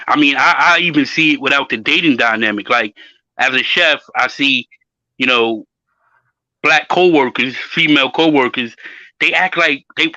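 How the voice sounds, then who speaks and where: A man's voice: mid-range voice, sharp tone, no echo. A man who works as a chef, talking emphatically in a casual chat and often repeating words as he starts a thought. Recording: dull, lo-fi audio.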